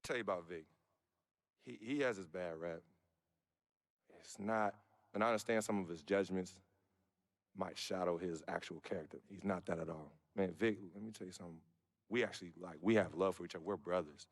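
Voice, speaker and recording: Low-voiced earnest young ballplayer. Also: male voice, brisk, long pauses. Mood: earnest, defensive. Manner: hesitant, casual, earnest. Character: loyal, earnest young male pro basketball player. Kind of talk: naturalistic video game cutscene acting.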